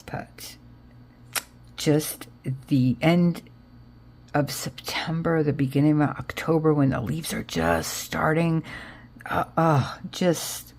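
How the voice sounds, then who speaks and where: Low-voiced American woman, slow and rambling. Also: darkish tone. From Israel, raised in Connecticut, US. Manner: rambling, thinking aloud, trailing off. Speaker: craft vlogger. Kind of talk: casual chat, narrating a craft project.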